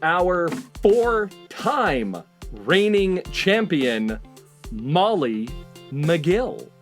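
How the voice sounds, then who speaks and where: Guy hosting a panel game, slow n ceremonial, lively up and down pitch, bit of music behind. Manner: deliberate, mock-ceremonial delivery with dramatic pauses. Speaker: game show host and headmaster.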